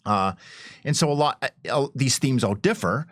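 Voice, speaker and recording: A man with a lively, swooping delivery talks as a game designer in a panel discussion. He explains ideas like an enthusiastic professor, and the sound is close and dry. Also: medium pitch, normal speed, dry recording.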